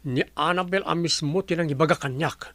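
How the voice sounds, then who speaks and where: A man playing a jealous, lovelorn gentleman in an Ilocano radio drama, resentful and wounded, with an Ilocano accent and husky voice. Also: on the high side, fairly quick, dry recording. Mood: bitter jealousy. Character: jealous, bitter lovelorn gentleman, master of the house.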